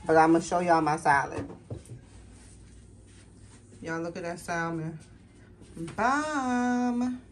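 Woman with a lowish voice, a home cook filming herself on her phone, folksy and talkative with viewers, slight hiss behind. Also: takes it slowly, pauses often. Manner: chatty, folksy, talks straight to viewers as y'all. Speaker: home cooking vlogger. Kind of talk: casual cooking video chat to viewers. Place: home kitchen.

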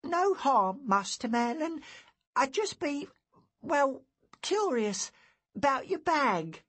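A man's voice: very high-pitched, big pitch swings, takes it slowly, a bit bright, voice pushed up, full character voice. A man voicing a timid, eager young peasant boy in an audiobook story, hesitant and deferential, with rustic grammar. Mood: sheepish, curious.

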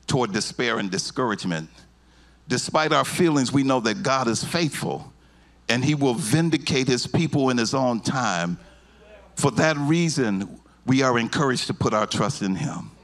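A middle-aged man preaching a sermon in a church sanctuary into a handheld mic, moving from slow low teaching to shouted, half-sung climaxes. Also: creaky. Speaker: pastor.

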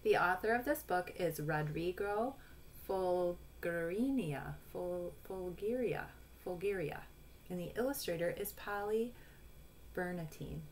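Female storybook reader, forties, low voice, instructive asides, noisy home webcam sound. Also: animated. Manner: instructive, addressing young children, pausing to point things out. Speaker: picture book read-aloud reader. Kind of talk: storybook read-aloud with explanatory asides. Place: small room at home.

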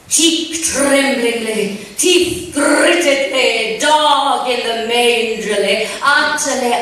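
A woman's voice: on the high side, a bit bright. An actress playing a devoted, genteel lady lover, tremulous and over-earnest in a radio romance parody. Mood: earnest, yearning. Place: on a theatre stage. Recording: roomy theatre stage, distant, faint hiss.

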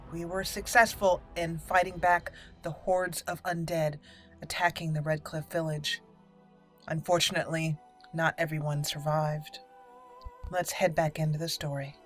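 A woman hosting a gaming channel, giving casual, playful let's play commentary and talking to the characters. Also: lowish voice. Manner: playful, talks to game characters.

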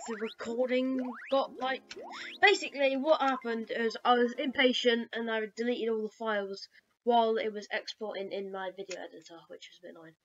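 A woman hosting a racing game playthrough with a slightly scratchy voice from a sore throat, chatting casually and exclaiming while she concentrates. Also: fairly high pitch. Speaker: gaming channel host. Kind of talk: casual gameplay commentary. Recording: loud game music underneath. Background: game music and engine sounds.

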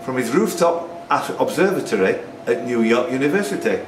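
A man's voice: medium pitch, animated, a little fast, background noise. An older man, reading out pub quiz questions as quizmaster and bantering with the players. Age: older, around 60s. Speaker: quiz master. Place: pub, indoors. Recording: echoey pub, distant mic, music behind.

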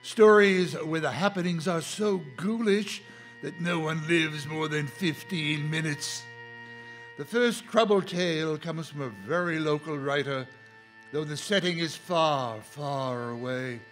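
Slow, high-pitched theatrical male host. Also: a bit bright, faint music behind. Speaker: host of a horror radio show. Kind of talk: theatrical scripted introduction. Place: live stage show.

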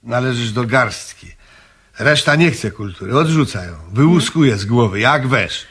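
An actor playing a dry, matter-of-fact middle-aged businessman in a comic radio play, with a husky voice. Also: medium pitch, animated, moderate pace, a bit bright. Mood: impatient. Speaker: owner of a small wholesale firm.

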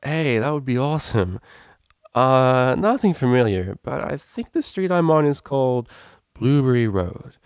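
A man's voice: mid-range voice, lively intonation, measured pace, smooth, no room sound, studio quality, voice pushed up. A man voicing a drunk, affectionate female unicorn DJ pony in a storybook reading, rambling and hesitant in informal speech. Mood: carefree.

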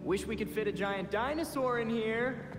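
A man's voice: high voice, moderate pace, over music, close to an everyday voice. A man playing an earnest, upbeat young superhero sidekick in a video game, light and boyish. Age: young adult. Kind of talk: video game cutscene acting.

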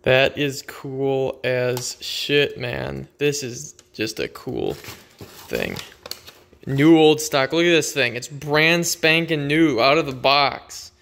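A man's voice: mid-range voice, animated, slow, creaky, a bit bright. A man giving casual, unscripted vlog commentary in a laid-back, rambling way, recorded on a handheld phone in a garage. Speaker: vlogger.

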